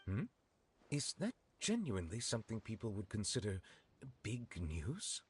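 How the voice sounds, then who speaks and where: A man voicing a dignified, gentle chief judge in an anime game, speaking formally, measured and sincere. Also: lowish voice, big pitch swings, slight background noise. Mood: puzzled.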